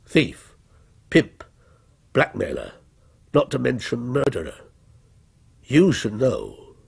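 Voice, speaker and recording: Storybook villain, acted by a male narrator: criminal dressed as policeman, deliberate, taunting menace, rough husky tone, wide swings in pitch. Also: huge pitch swings, full character voice. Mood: cold menace. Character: menacing, vengeful male fictional criminal come to life as a policeman.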